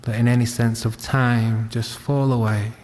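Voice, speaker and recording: Mellow male voice, even pitch, gentle meditation teacher, long pauses, long reverb. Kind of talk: guided meditation. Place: reverberant room at a wellness centre.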